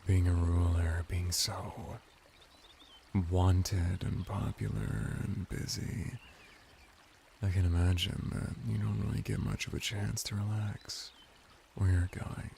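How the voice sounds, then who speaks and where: Casting: male actor as seductive merman bath servant for ASMR roleplay; deep, slow, teasing and deferential. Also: little pitch movement, gravelly, warm tone, slight background noise, exaggerated voice. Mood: curious, teasing. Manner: unhurried, teasing, soothing, deferential. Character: flirty, seductive, servile adult merman bathhouse attendant.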